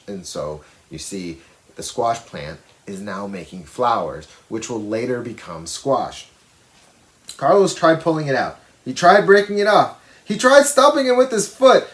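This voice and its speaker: A man reading a children's storybook aloud expressively, with simple explanatory asides for young listeners. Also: mid-range voice. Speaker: storybook reader.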